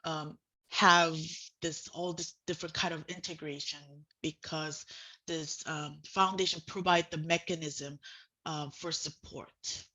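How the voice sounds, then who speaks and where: A woman with a very bright, fairly low voice moderates a student panel over a video call. Her semi-formal delivery is halting and peppered with informal filler phrasing. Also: steady tone, low-quality recording. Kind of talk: semi-formal presenting and interviewing. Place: online video call with screen sharing.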